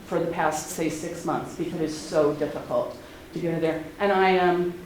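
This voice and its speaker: A middle-aged woman, speaking earnestly and haltingly at a public meeting. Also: sits low, fairly even intonation. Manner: earnest, halting, many ums. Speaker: public commenter. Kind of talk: unscripted public comment. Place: community meeting hall. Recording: very echoey hall, faint noise.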